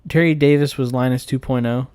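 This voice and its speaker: A man's voice: mid-range voice, fairly even intonation, a little fast, a little mellow, clean tone, no room sound, clean studio sound. A man with a Southern American accent chatting informally and jokingly on a live stream as he answers comments. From United States.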